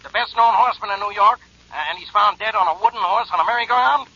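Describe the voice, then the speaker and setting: An exasperated, disbelieving police inspector in a 1940s radio drama. His voice is high and extremely sharp and forceful, full of bluster. The vintage recording sounds dull. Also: fast, slightly rough, full character voice. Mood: incredulous. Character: gruff, irritable, incredulous police inspector.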